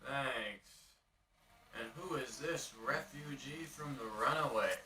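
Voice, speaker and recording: A man's voice: mid-range voice, slow pace, recorded from a distance, crowd noise, lightly acted. A man playing an arrogant, dismissive punk band singer in a motion comic, with a curt, cocky delivery. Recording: echoey, off-mic, music behind. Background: music.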